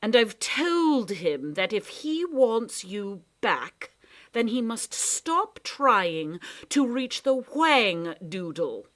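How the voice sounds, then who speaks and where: A woman's voice: fairly high pitch, sing-song, drawn-out words, fairly bright tone, voice pushed up, exaggerated voice. A woman doing a smug, mock-polite creature. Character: smooth, scheming male creature, cheerfully menacing.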